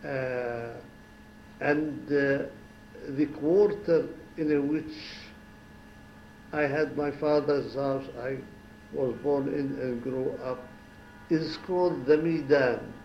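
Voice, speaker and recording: Older man in his 70s from Syria, retired diplomat, slow and reflective, many restarts, echoey room. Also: medium pitch, steady tone, dull audio, slight background noise. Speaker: former ambassador, interviewee. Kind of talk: oral history interview. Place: home.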